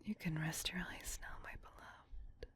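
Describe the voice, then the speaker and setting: A woman voicing a tender, possessive vampire queen in a soft, breathy whisper, speaking intimately as ASMR roleplay. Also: close to an everyday voice. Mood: soothing, loving. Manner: slow, intimate, doting. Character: tender, possessive vampire queen soothing her beloved pet.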